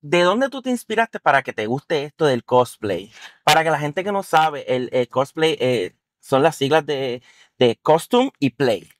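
A man's voice: on the high side, lively intonation, unhurried, no room sound, studio quality. Playful man hosting. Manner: playful, teasing, jokey. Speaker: host. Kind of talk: casual interview. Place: inside a car.

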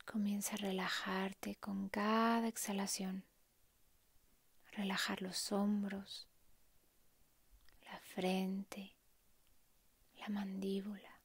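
A woman softly narrating a guided meditation in a hushed, calm, breathy way, leaving long pauses. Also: mid-range voice, dry recording.

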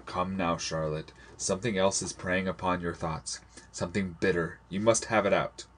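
A man plays an earnest old sailor in a storybook, with a fairly low voice. He is patient but insistent, pleading in measured, formal phrases. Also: fairly even intonation, a bit bright, slight background noise. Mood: gentle, concerned.

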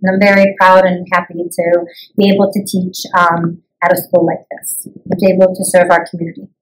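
A woman teacher from the United States answers interview questions thoughtfully, often pausing with "um". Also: on the low side, flat delivery, a little hiss or hum, rough recording. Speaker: English as a new language teacher.

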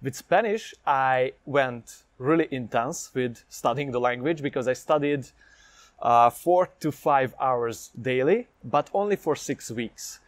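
A man's voice: medium pitch, no room sound. A young Hungarian man with a Hungarian accent, talking straight to camera in an upbeat vlog about learning a language, speaking energetically and gesturing as he goes, recorded on a lapel mic indoors. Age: young adult. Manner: energetic, talks with his hands. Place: indoors against a plain light wall. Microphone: lapel mic, medium camera framing.

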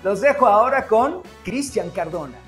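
A man's voice: high voice, expressive, moderate pace, soft background music. A man hosting an online event, giving a polished scripted introduction of a guest. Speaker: event host.

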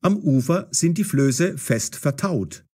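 Expressive male storyteller, animated intonation. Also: a little high, slow, a little hiss or hum. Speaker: narrator. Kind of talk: scripted story narration for language learners.

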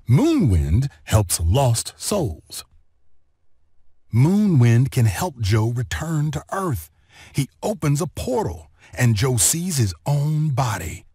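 A man narrating a children's read-along story in an expressive, deliberate storytelling manner. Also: medium pitch, no room sound, clean studio sound. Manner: expressive, deliberate storytelling delivery with clear phrasing.

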